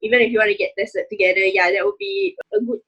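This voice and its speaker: A woman's voice: mid-range voice, steady tone, fast talker, fairly bright tone, slightly rough, highs cut off. A Singaporean woman in her twenties, speaking casually and directly as a guest on a panel, leaning on "like" and "I feel". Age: 20s.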